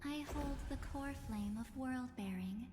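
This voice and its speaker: High, echoing, silky villainess. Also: female voice, a little slow. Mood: persuasive. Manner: theatrical, condescending, menacing endearments. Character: obsessed scheming villainess, silky, condescending, coldly grandiose. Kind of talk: scripted video game voice acting. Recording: echoey long reverb with music behind.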